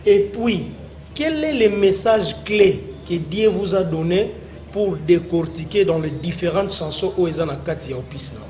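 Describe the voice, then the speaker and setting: A man hosting a television interview, animated, switching between French and Lingala. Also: sits high, normal speed, soft background music. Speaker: TV host.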